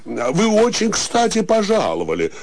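An actor playing a genial, joking middle-aged gentleman host in a radio drama, effusive and playfully ornate. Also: sits high, huge pitch swings, a little slow, a little hiss or hum, heavily acted. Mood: jovial, effusive. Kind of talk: Russian radio drama acting.

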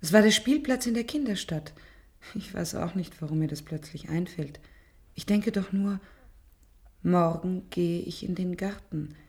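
An actress playing a meek, puzzled young woman, the subject of an experiment in a German radio play, speaking in a hushed, compliant manner. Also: fairly low pitch, measured pace.

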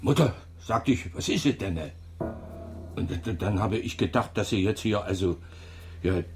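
A man's voice: fairly low pitch, normal speed, faint music behind, lightly acted. An actor playing a rattled, slovenly widower in his seventies who drinks, stammering and repeating words in a radio drama. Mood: distraught, confused. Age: 70s. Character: slovenly, drunken old widower, rattled and fumbling. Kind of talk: German radio drama acting.

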